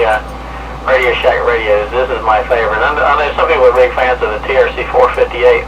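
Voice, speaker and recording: A middle-aged man chats casually over a two-way radio. His mid-pitched voice sits far from the mic. Clear radio noise runs through the whole transmission. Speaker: CB radio hobbyist. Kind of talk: casual radio check-in chat about equipment. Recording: distant, loud CB radio static and noise.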